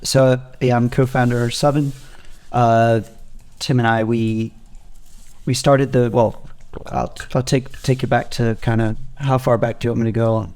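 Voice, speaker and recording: A man's voice: mid-range voice, little pitch movement, unhurried, a little mellow, clear, resonant tone. A man in his thirties from Spain, telling his story casually as a podcast guest. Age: 30s. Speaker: podcast guest, gym co-founder.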